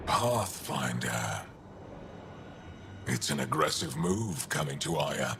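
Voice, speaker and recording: An actor playing a gruff, suspicious alien resistance leader in a video game, blunt and deliberate, with a gravelly voice. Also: male voice, extremely low pitch, very animated, noisy background, full character voice. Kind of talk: dramatic video game dialogue. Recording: faint background ambience.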